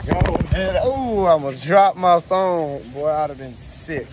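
A man's voice: fairly high pitch, lively intonation, a little slow, a little mellow. A man in his twenties chatting casually into his phone with slangy, self-motivating swagger. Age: 20s. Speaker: vlogger, baseball player and coach. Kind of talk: casual hyped vlog chat. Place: inside a car and outdoors. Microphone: phone at arm's length. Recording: noisy car and outdoor phone recording.

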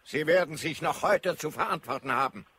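Sharp, indignant commander. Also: male voice, on the high side, a little fast, a little hiss or hum. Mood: indignant, threatening. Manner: pompous, stern. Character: indignant, domineering male commander rebuking an insubordinate. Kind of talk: German radio play acting.

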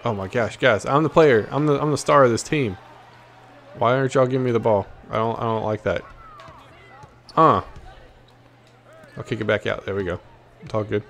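A man's voice: medium pitch, moderate pace, pauses often, fairly bright tone. A man giving casual, slangy gameplay commentary, cocky and playful with plenty of trash talk. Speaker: gaming video host.

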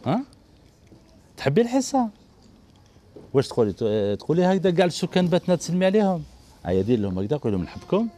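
A man in his forties with an Algerian Arabic accent, hosting a children's TV show. He gently coaxes children on stage and repeats praise over and over. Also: on the high side, sing-song, fast, long pauses. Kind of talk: coaxing kids on stage. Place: indoor TV studio set. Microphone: handheld mic.

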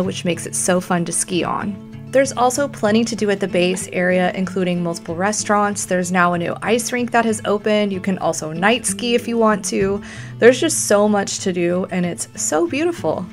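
An upbeat woman in her forties reads a travel vlog voice-over, gushing with superlatives at a mid pitch. Soft music plays faintly under her. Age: 40s. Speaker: family travel vlogger. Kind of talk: scripted voice-over narration.

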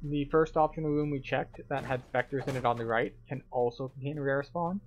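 A man's voice: medium pitch, fairly even intonation, a little fast, dull audio, slight background noise. A man giving casual, step-by-step commentary as he guides viewers through a video game. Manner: explanatory, step-by-step.

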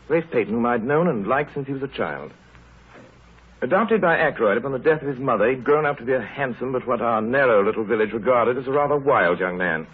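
A man's voice: medium pitch, husky. An actor playing a wry village doctor who narrates his tale with dry humor in a 1930s radio drama. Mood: reflective. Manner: dry, droll, literate. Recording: muffled, scratchy 1930s radio broadcast.

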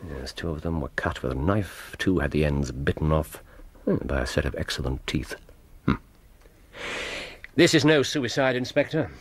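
An English actor playing a brisk, self-assured Victorian detective in a radio drama, speaking precisely and with command in a husky voice. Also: male voice, a bass voice, big pitch swings, full character voice. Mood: musing, assured. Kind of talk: BBC radio drama acting.

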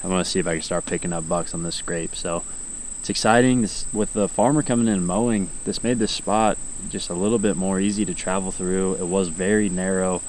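A man's voice: deep voice, steady tone, a little fast, a little mellow, a little hiss or hum, rough recording. A young American man chatting casually to camera as the host of an outdoor vlog. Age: young adult. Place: outdoors in fields and woods.

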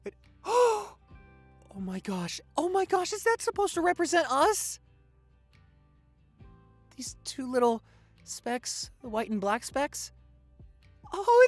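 A man acts out a young male vampire boyfriend for an intimate audio roleplay. He is affectionate and easily excited, with a widely swinging pitch, stammers and repeated words. The sound is dry, with faint music behind him. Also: no room sound, exaggerated voice. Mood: overwhelmed, grateful. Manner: gushing, stammering, repeats himself.

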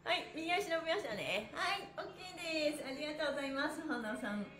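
High-pitched cheerful woman leading stretches. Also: animated, quick pace, fairly bright tone. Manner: cheerful, encouraging, playful, often laughing. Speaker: stretch class instructor and host. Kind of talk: live-streamed exercise lesson with rhythmic chanted counting. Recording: echoey room sound.

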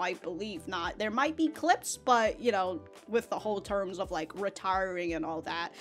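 A young woman hosting an anime reaction video, rambling loosely and swearing often as she laughs between thoughts into a studio mic. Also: mid-range voice. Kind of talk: casual reaction commentary. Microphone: large studio mic in front.

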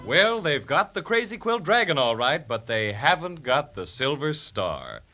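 A man's voice: a little high, sing-song, unhurried, loud music behind the voice. A man announcing a children's radio serial in 1930s narration style. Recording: muffled old 1930s radio recording.

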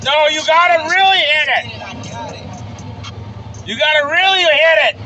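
A man's voice: very high voice, measured pace, bright, forceful tone, dull audio, a faint crowd, a little hiss or hum. A man riding along on wooded off-road trails, casually commenting on the riders and cheering them on. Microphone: camera mounted in side-by-side.

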